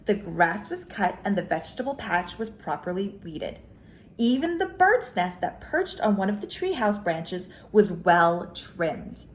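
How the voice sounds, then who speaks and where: A woman's voice: medium pitch, very animated, a little slow. A woman reading a children's picture book aloud as a storyteller, expressively, drawing out key words for emphasis.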